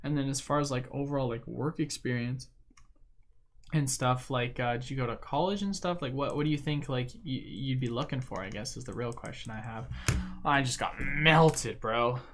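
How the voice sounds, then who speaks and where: A man's voice: mid-range voice, normal speed, a bit bright, a little hiss or hum. A young man hosting a livestream, chatting casually and rambling with lots of fillers and slang while he plays a game. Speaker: livestream host. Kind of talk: casual chat while gaming.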